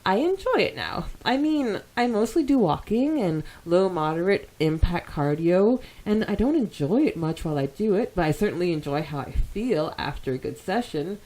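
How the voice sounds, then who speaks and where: A woman's voice: lowish voice, expressive, normal speed, few pauses, a little mellow, rough recording. A woman co-hosting a podcast, chatting casually and reading out comments in an informal, giggly way. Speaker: podcast co-host.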